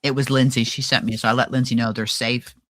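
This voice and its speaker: A woman co-hosting a casual crafting livestream, chatty and animated, often trailing off and restarting. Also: extremely low pitch, fast talker, no room sound, clean studio sound. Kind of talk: casual chat. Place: home livestream.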